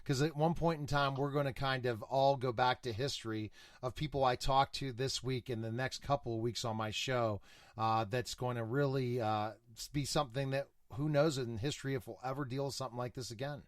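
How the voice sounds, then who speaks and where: A man's voice: medium pitch, fairly even intonation, fairly quick, dry recording, studio quality. A man hosting a casual interview show, putting questions in a loose, conversational way.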